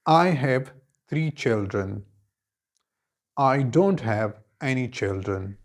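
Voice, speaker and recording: A man, teaching an English lesson and giving clear, deliberate model answers, each repeated in several forms. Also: mid-range voice, animated. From Khyber Pakhtunkhwa, Pakistan. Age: 20s.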